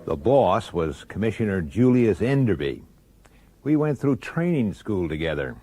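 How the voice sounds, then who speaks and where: Low-voiced, lively hard-boiled detective. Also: male voice, unhurried. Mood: wry, weary. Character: proud, world-weary plainclothes city policeman. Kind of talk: radio drama first-person narration and dialogue. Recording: clear urban background noise.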